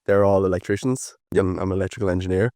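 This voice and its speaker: A man in his twenties with an Irish accent, chatting as a podcast guest in a relaxed, rambling way with plenty of fillers. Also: sits low, quick pace, no echo. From Ireland. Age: 20s. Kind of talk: casual interview chat.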